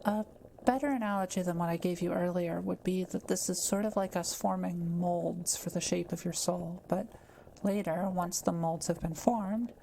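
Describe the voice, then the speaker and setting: A woman playing a kind, gentle witch in an intimate roleplay audio drama, speaking softly and carefully to the listener. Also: on the low side, steady tone, moderate pace, gravelly, a little hiss or hum, low-quality recording. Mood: gentle, reassuring. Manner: soft-spoken, reassuring, careful explaining.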